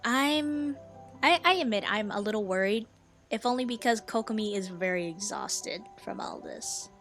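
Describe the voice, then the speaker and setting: A woman giving casual, reactive let's play commentary, joking, halting and emphatic as she goes. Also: mid-range voice, a bit bright. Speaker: gaming video host. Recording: faint game music behind the voice.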